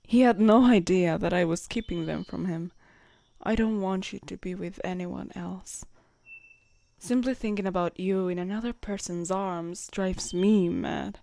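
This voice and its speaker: A woman's voice: mid-range voice, a little mellow, dry recording. A woman playing a devoted, lovestruck knight, shy and conflicted, in an intimate romantic audio roleplay, speaking hesitantly and tenderly. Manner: hesitant, tender, breathy whispers at times.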